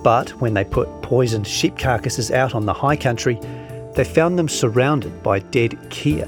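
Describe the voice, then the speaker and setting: A New man narrates a long-form article in a mid-pitched voice. His delivery is scripted reading, with music clearly playing behind him. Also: measured pace.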